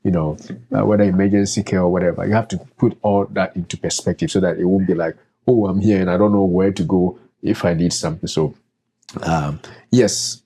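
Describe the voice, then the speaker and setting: A man with a very low, mellow voice talks through practical advice in a relaxed podcast chat. He speaks as a doctor, hedging with fillers and restarting his sentences as he goes. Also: fairly quick, breathy edge. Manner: conversational, many fillers and restarts. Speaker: doctor and co-host.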